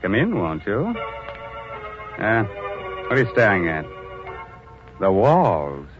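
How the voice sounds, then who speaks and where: Punning ghoulish horror host, lowish voice, slow mock-sinister delivery, dull old radio sound with music. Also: male voice, huge pitch swings, heavily acted. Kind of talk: 1940s radio acting.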